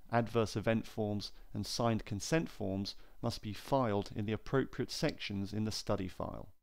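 A man narrating a scripted training film in a measured, formal, clearly enunciated way. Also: fairly low pitch, a little mellow.

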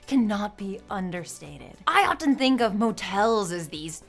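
A woman's voice: medium pitch, lively intonation, measured pace, soft background music. A young woman giving scripted, sarcastic commentary as a video essayist, with a theatrical, mock-indignant manner. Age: young adult.

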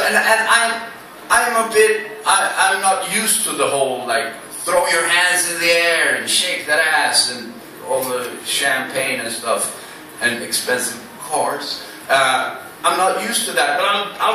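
Swedish man, 30s, slam poet, sharp bright tone, self-deprecating patter, club PA caught from far off. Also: a little high, very echoey, a little hiss or hum. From Sweden. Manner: dry, self-mocking, halting with many fillers. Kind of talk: stand-up style spoken word banter. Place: small club stage. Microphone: stand mic on stage, recorded from the audience.

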